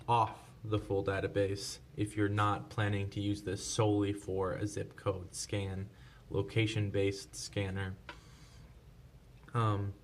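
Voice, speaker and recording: Man, lowish voice, casual tutorial narration, unscripted, step-by-step, some background noise. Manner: informal, unscripted step-by-step explaining. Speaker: presenter of product tutorials.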